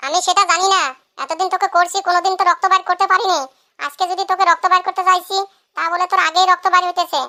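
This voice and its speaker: A performer voicing a grumbling, pestering drunkard husband in a comic cartoon, whining through a raised-pitch effect. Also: level tone, rapid-fire, sharp tone, exaggerated voice. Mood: grumbling, insistent.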